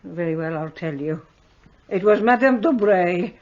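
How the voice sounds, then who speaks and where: A gossipy old servant. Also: female voice, lowish voice, animated, unhurried, darkish tone. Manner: grudging, gossipy, defensive. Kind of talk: radio drama acting. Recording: dull studio audio play.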